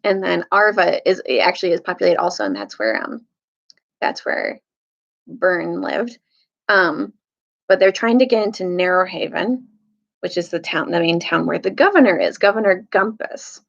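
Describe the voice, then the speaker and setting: Halting woman storyteller, dull lo-fi sound. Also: lowish voice, expressive, gravelly. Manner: halting, searching for words, self-correcting. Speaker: storytime teacher reading aloud. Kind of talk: casual recap and storybook read-aloud. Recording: dull lo-fi recording.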